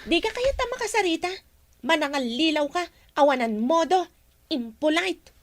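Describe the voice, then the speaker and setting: A woman's voice: high-pitched, lively intonation, normal speed, no echo. An actress playing an indignant young woman in a radio drama, huffy and scolding. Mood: angry.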